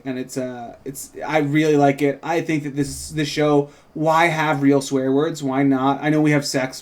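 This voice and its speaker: A man's voice: on the high side, a little mellow. A man co-hosting a script read, chatting casually and explaining his views in an opinionated way. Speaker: co-writer and podcast host. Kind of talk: casual chat and script narration.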